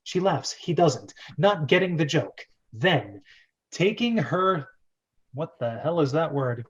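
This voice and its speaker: A man's voice: a little high, sing-song, slow pace, muffled recording. A man hosting and narrating a script read-through, chatty and jokey with a theatrical delivery.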